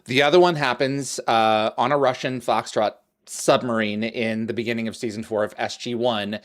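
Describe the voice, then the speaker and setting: A man's voice: mid-range voice, fairly even intonation, normal speed. A man hosting an interview over a video call, eager and chatty, full of trivia about the show. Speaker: podcast host. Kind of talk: casual interview chat.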